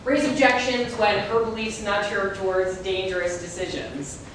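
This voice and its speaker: A woman's voice: steady tone, normal speed, natural voice. A woman performing rehearsed dramatic narration as a reader's theater performer. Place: large echoey room, distant microphone. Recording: very echoey hall, distant mic, faint rumble.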